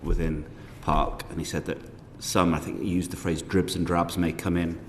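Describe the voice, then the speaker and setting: Deep-voiced man questioning in a hall. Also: level tone. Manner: measured, polite, hedging questions. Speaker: committee member questioning witnesses. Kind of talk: formal committee questioning. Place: parliamentary committee room. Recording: reverberant hall with faint noise.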